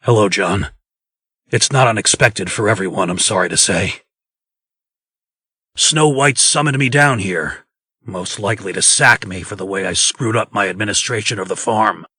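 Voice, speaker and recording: Man acting a husky, low, world-weary fellow. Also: moderate pace, bright, forceful tone, dry recording, clean studio sound. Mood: resigned. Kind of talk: comic dub voice acting.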